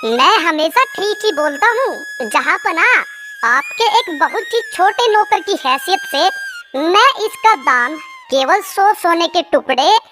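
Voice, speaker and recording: A cartoon voice actor playing a sly, fawning village swindler who schemes and flatters theatrically, with children's music behind. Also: very high voice, takes it slowly, heavily acted. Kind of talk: animated moral story character dialogue.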